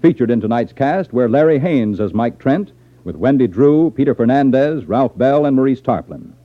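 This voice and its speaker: A man's voice: medium pitch, animated, moderate pace. An American man reading scripted announcements as a 1950s radio announcer. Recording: muffled 1950s radio broadcast recording.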